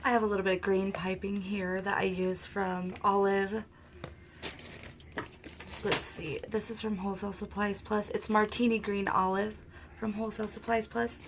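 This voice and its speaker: Soft-spoken woman with a sore throat. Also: medium pitch, flat delivery, moderate pace, slight background noise. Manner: soft-spoken, talks quietly. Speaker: soap-making channel host. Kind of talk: casual craft tutorial narration.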